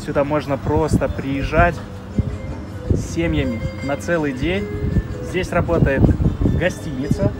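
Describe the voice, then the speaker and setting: A man's voice: a little high, unhurried, long pauses, low-quality recording. Man interviewing casually, music behind. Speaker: interviewer filming the tour. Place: inside a small vehicle outdoors in a park.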